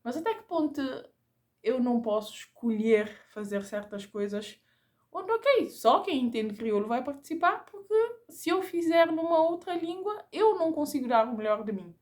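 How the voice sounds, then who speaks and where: A woman's voice: high-pitched, big pitch swings, moderate pace, a little mellow. A Cape Verdean woman whose first language is Creole, speaking Portuguese with a Cape Verdean accent in a reflective, casual vlog, thinking aloud and often repeating words as she searches for them. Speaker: vlogger.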